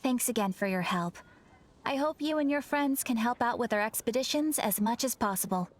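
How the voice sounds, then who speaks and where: A woman's voice: on the high side, expressive, normal speed, slight background noise, lightly acted. A woman playing a courteous young guild receptionist in a video game, speaking in a polite, formal, composed way. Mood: helpful, instructive. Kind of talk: scripted video game character dialogue.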